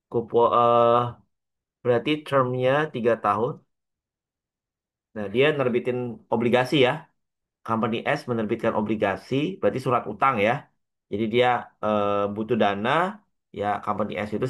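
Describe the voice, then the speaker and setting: A man with an Indonesian accent lecturing as a teacher, working through an example step by step in a halting, repetitive way with frequent checking tags. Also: fairly low pitch. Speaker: accounting lecturer.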